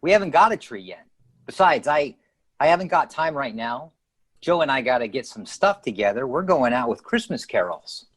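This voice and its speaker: An actor playing an eager, cocky American teenage boy in a radio sitcom, excitable and slangy. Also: mid-range voice, moderate pace. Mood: excited. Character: eager, cocky teenage son full of schemes. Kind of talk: live radio sitcom acting.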